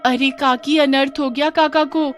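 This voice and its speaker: A woman's voice: high voice, fairly even intonation, a little slow, soft background music, voice pushed up. A woman voicing an alarmed, insistent village auntie with urgent exclamations in a horror story. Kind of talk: Hindi horror story character voice.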